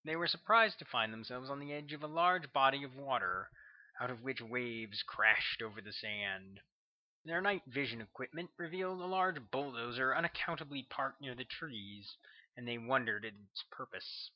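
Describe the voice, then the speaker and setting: A man reads his own novel aloud in a steady, measured way, sounding like an audiobook narrator. His voice sits at an ordinary middle pitch for a man. The recording is somewhat dull and lo-fi. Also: dry recording.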